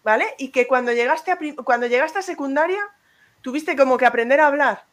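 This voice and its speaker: A woman's voice: high-pitched, brisk. A woman hosting an interview who reads viewer comments aloud and puts questions to her guest. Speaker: host. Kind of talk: hosting a live-stream interview.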